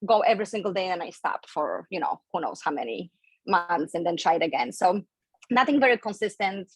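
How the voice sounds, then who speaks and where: A woman's voice: lowish voice, animated, fairly quick, breathy edge, no echo, poor audio. A woman in her thirties from Poland with a Polish accent, a fitness coach telling her story as a podcast guest in a chatty, candid way. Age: 30s. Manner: chatty, candid, laughs between phrases.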